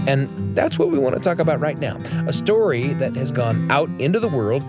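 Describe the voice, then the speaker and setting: Animated male storytelling radio host. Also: mid-range voice, normal speed, over loud music. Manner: animated, dramatic emphasis. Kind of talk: scripted storytelling narration.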